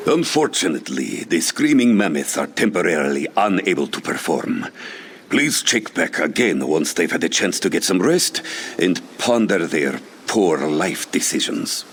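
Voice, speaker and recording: An actor playing a disdainful cat-person bard in a fantasy game, speaking haughtily and dryly. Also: male voice, low-pitched, sing-song, normal speed, a bit bright, a little hiss or hum, heavily acted. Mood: dry, apologetic. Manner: haughty, dry, refers to himself in third person. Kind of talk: scripted fantasy game dialogue.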